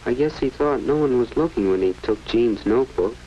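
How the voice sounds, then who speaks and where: An earnest, self-questioning teenage American schoolboy, acted by a man in an old educational film. He speaks politely and musingly in an average-pitched male voice. The sound is muffled, with a faint layer of film noise. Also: animated, fairly quick, warm tone, lightly acted. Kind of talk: 1940s educational film acting.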